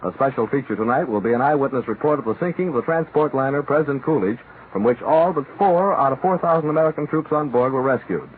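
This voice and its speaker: A man's voice: mid-range voice, fast talker, hardly any pauses. Male 1940s station announcer, tinny radio. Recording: tinny, faintly hissing 1940s radio broadcast.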